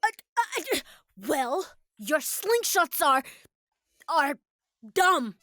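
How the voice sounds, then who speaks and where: A woman's voice: high-pitched, very animated, very slow, pushed and bright, pitched above the performer's own voice, heavily acted. A woman voicing a feisty, indignant cartoon girl heroine with a bickering, scolding way of talking. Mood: indignant, mocking.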